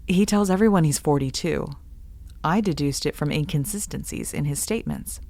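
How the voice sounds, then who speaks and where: Earnest grown daughter in an old radio play, questioning everything, lowish voice, really dry sound. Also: female voice, moderate pace, warm tone, no echo. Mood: guarded, defiant. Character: earnest, disillusioned grown daughter, a university researcher. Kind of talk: old-time radio drama acting.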